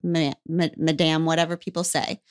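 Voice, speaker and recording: Woman podcast host, lower register, encouraging interviewer, dry studio mic. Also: little pitch movement, normal speed, clear, resonant tone, no room sound. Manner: warm encouraging listener, frequent affirmations. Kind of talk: conversational interviewing.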